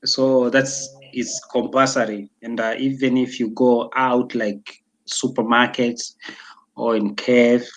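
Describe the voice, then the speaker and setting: Young guy from tanzania, lots of ums and uhs, mid pitch, casual chat. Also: flat delivery, takes it slowly, rough recording. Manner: hesitant, many fillers and restarts. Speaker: interview guest.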